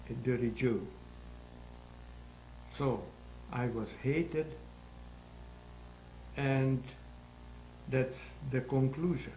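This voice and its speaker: Elderly male guest, halting phrasing, slow and creaky, echoey room. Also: medium pitch, a little mellow. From Hungary. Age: 90s. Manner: halting, searching for words, non-native grammar. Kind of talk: interview answers. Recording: roomy echo with faint hum.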